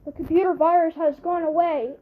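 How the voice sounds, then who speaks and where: A woman delivering an improvised mock emergency alert announcement in a halting way, often repeating herself and restarting. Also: extremely high pitch, steady tone, narrow, tinny audio, slight background noise.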